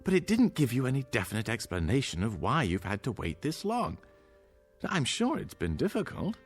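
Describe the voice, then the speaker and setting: A genial radio-drama doctor who talks down to people. His delivery swings dramatically up and down, full of little hesitations, over clearly heard music. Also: medium pitch, huge pitch swings, normal speed. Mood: reassuring, patronizing. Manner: chuckling, condescending, hesitant asides. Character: genial, smoothly patronizing male doctor.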